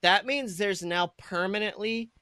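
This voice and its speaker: High-voiced man bantering on a podcast. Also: unhurried, clear, resonant tone, no room sound, studio quality. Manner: animated, crude joking, swears freely. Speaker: podcast co-host. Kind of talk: casual comedic podcast banter.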